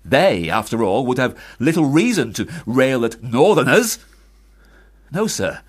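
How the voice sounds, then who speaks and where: Stern older father, husky and sarcastic. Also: mid-range voice, sing-song, unhurried. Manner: formal, biting, rhetorical. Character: stern, sarcastic parson father scolding his failed adult son. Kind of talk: period radio drama acting.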